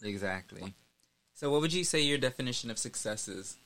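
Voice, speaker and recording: A man's voice: mid-range voice, lively intonation, brisk, crowd noise. A man in his thirties hosting a podcast interview, chatty and upbeat, often laughing. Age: 30s. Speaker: podcast host. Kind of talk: casual interview.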